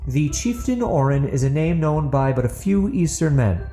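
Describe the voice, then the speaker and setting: Man voicing a shrewd, measured grand chieftain. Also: on the high side, very animated, mellow, soft background music, pitched above the performer's own voice. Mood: measured, probing. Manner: measured, formal, probing. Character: shrewd, deliberate bearded male grand chieftain.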